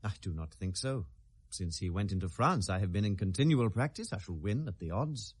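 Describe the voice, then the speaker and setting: An actor with a British accent playing a brooding, sardonic young prince in a classical radio drama, theatrical and deliberate in delivery. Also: male voice, fairly low pitch, animated, breathy edge. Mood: resigned, uneasy. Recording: 1970s radio drama recording.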